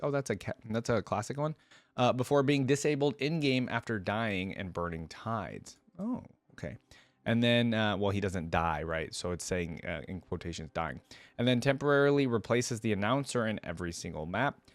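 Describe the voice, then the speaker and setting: Male reaction host, lowish pitch, informal think-aloud delivery, close dry sound. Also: animated, normal speed, dry recording. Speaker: host of a game reaction video. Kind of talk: casual reaction commentary, reading aloud and commenting.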